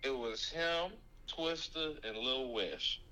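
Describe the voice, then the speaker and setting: A man's voice: mid-range voice, slow pace, a crowd in the background, low-quality recording. A man co-hosting a podcast in casual banter, blunt and teasing, with profane slang.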